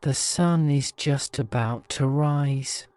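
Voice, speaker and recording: A man narrating a guided-imagery sleep story in a soothing, measured manner. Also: mid-range voice, a little mellow, smooth, no echo.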